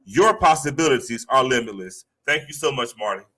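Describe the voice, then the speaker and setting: Male guest therapist with a stutter, bright forceful tone, medium pitch, earnest and emphatic motivational delivery. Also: expressive, fairly quick.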